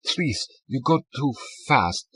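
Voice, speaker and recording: A man voicing a scheming fugitive who poses as a meek, grieving German, speaking halting English with a German accent in an audiobook. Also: medium pitch, lively intonation, a bit bright, breathy edge, no room sound, pitched above the performer's own voice, full character voice, poor audio. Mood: halting, upset. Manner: halting, pleading, broken English.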